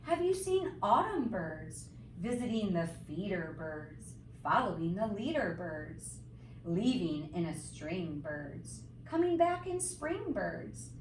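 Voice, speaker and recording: A woman reading a picture book aloud to young children like a teacher, with expressive, sing-song, emphatic phrasing and simple explanatory asides. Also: lowish voice, takes it slowly. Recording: roomy, distant mic, faint background noise.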